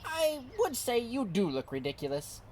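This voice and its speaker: A woman voicing a vain, polite male leopard in a storybook, measured and showy. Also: fairly high pitch, big pitch swings, a little mellow, a little hiss or hum, voice pushed up. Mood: smug.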